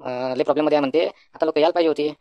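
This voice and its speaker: Cartoon casting: brash young village guy played by a man, Varhadi Marathi accent, very quick, dry studio sound. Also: a little high, steady tone, warm tone, dry recording, muffled recording, close to an everyday voice. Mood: earnest, persuading. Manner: confident, colloquial. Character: brash, take-charge young village friend, male. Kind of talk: comedy cartoon dialogue.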